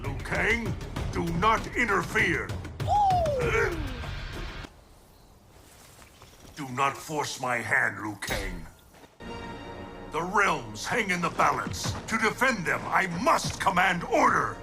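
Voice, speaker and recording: Commanding thunder god with swooping intonation. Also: male voice, fairly high pitch, sing-song, slow pace, heavily acted. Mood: commanding, furious. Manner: formal, grave, imperious declarations. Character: stern, wrathful thunder god, commanding elder protector of Earth. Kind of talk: dramatic video game cutscene acting. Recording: music behind the dialogue. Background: music.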